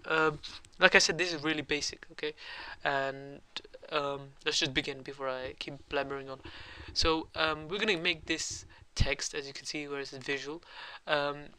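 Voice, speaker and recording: A young man with a cold, hosting a casual software tutorial at his computer and rambling with lots of ums and uhs. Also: a little high, fairly even intonation, moderate pace, few pauses, clean tone. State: has a cold. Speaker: tutorial host.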